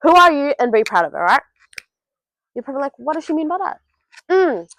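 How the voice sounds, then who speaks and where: A woman's voice: sits high, big pitch swings, brisk, a bit bright, no echo, low-quality recording. Blunt teen girl, dry sound. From Australia. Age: teens. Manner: blunt, swears freely, talks straight to the viewer. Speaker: vlogger. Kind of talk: casual advice monologue to camera. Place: at home.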